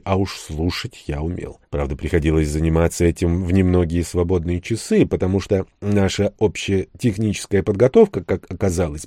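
A man's voice: low voice, dark tone, no echo, studio quality. A man reading first-person fiction as an audiobook narrator, in a colloquial, wry storytelling manner.

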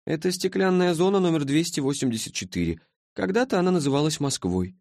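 Man voicing a languid high-pitched woman from the future. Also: expressive, fast talker, darkish tone, dry recording, studio quality. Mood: detached, curious. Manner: listless drawl, clinical, detached. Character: languid, sleepy-voiced female being from a far future.

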